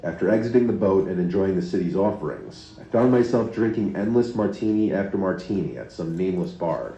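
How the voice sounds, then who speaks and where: A man narrating a first-person story from a script in a steady, low delivery, played back from another video. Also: brisk, soft background music. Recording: muffled, roomy playback from another video.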